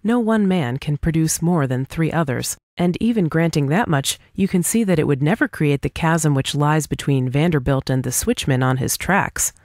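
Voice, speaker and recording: A woman's voice: low voice, expressive, moderate pace, hardly any pauses, a little mellow, dry recording, clean studio sound. A woman reading a scripted dialogue aloud as for an audiobook, in a formal, argumentative manner. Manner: formal, argumentative, rhetorical questioning. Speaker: audiobook reader.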